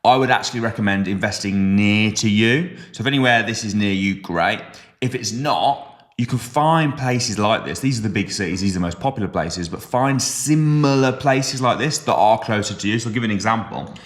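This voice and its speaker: A man from the West Midlands co-hosting a podcast in casual chat, animated and teasing, with sudden jumps up in pitch when he stresses a point. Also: fairly quick. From West Midlands, England. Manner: teasing, joking banter. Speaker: podcast co-host.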